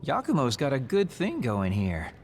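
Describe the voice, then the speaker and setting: A man's voice: mid-range voice, huge pitch swings, normal speed. An actor playing a laid-back, chatty young male teammate in a video game, casual in delivery. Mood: easygoing. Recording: long echo, faint noise.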